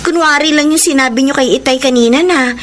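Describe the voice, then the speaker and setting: A woman's voice: sits high, brisk, a little hiss or hum, close to an everyday voice. A woman playing a whiny, inquisitive schoolgirl daughter in a radio drama. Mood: curious, worried. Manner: plaintive, inquisitive.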